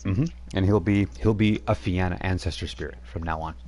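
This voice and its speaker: A man's voice: low-pitched, steady tone, fairly quick, a little mellow. A man running a tabletop role-playing game over voice chat, narrating loosely with many fillers and restarts. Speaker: game master.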